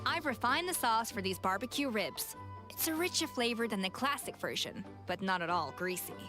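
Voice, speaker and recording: A woman's voice: fairly high pitch, animated, moderate pace, music in the background, close to an everyday voice. A woman playing a confident, exacting young chef in a video game, speaking in a composed, precise, refined manner.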